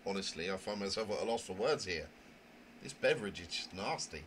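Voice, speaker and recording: A man acts out a prim young visual novel character who narrates in fussy, theatrical phrases. The voice sits fairly low and sounds indignant and proper. The recording carries noticeable noise. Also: animated, fairly quick, fairly bright tone. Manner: wordy, fussy, theatrical diction. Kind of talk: dramatic reading of a visual novel. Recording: noisy home microphone recording.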